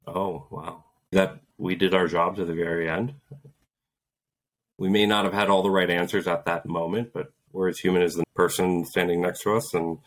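A man in his thirties, raised in Poland, a soldier and author telling earnest, reflective stories as an interview guest. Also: low-pitched. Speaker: guest, Green Beret and author. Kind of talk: casual interview storytelling.

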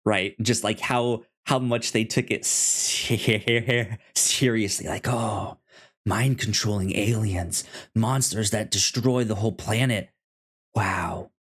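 Slow-paced man, halting and stammering. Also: on the low side, fairly bright tone, breathy edge. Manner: halting delivery, repeats and stammers words. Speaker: podcast co-host. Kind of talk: casual film discussion.

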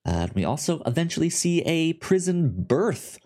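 A man's voice: fairly high pitch, very animated, moderate pace, darkish tone. A young man co-hosting a casual podcast chat, stammering hard on the first sounds of words. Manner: stutters heavily on first sounds. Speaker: podcast co-host.

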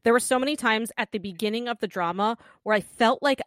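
A married woman chats about a drama in a loose, conversational way, filling her sentences with "like" and quick restarts. Her voice sounds dry and close, with no room around it. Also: a little high, fairly bright tone, dry recording. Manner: chatty, lots of "like" and restarts. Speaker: podcast co-host. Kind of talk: casual discussion of a TV drama.

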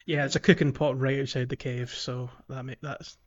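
A man co-hosting a podcast, explaining a video game in a casual, halting way. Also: medium pitch, little pitch movement, muffled recording. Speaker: podcast co-host.

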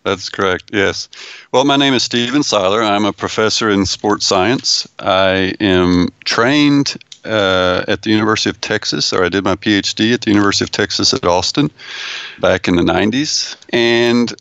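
Low gravelly man, dry dull sound. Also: normal speed, a bit bright, no echo. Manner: thoughtful, with frequent restarts and small laughs. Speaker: sports science professor, interview guest. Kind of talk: relaxed interview answers.

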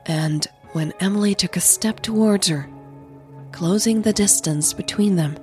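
A woman podcast host telling a story in a slow, suspenseful, intimate manner. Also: mid-range voice, a little mellow, faint music behind. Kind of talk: dramatic audio story narration and podcast chat.

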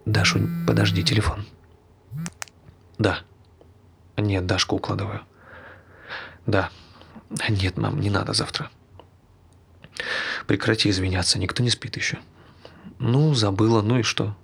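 An ordinary tired man in a naturalistic stage play. His low voice has a heavy creak. He keeps his answers short, understated and guarded. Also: fairly even intonation, unhurried, pauses often, fairly bright tone, heavily acted. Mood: gentle reassuring. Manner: terse, understated replies.

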